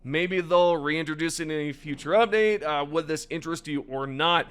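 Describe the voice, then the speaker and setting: A young man hosting a gaming news video, chatty and enthusiastic, his voice slightly raspy today. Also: fairly high pitch, normal speed, fairly bright tone. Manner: enthusiastic, chatty, addresses viewers directly. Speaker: gaming channel host. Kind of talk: casual gaming news commentary over gameplay.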